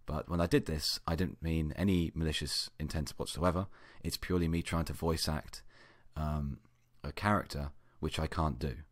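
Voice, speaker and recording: A man with a low voice reads fan fiction aloud as an amateur narrator. The sound is dry and close, with little room around it. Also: fairly even intonation, normal speed, dry recording. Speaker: amateur story reader.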